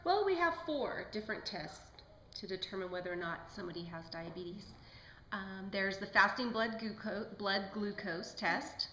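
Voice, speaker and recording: A woman's voice: medium pitch, expressive, normal speed, sharp tone, a little hiss or hum. A woman playing a polite, studious lab technician in an amateur puppet show, with careful, recited delivery. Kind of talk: scripted amateur lecture. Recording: echoey room.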